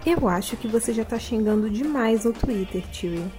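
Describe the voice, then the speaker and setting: A woman's voice: fairly high pitch, animated, moderate pace. A Brazilian woman co-hosting a podcast with casual banter. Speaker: podcast co-host. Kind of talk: casual self-introduction, banter. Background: music.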